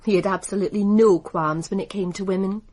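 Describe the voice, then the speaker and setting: A woman's voice: mid-range voice, steady tone, quick pace, a little mellow, natural voice. An actress playing a poised woman who runs a racing business, speaking in a composed, dry way in a radio drama. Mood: cool. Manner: composed, dry, crisply assured. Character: poised woman racing executive, cool and cutting.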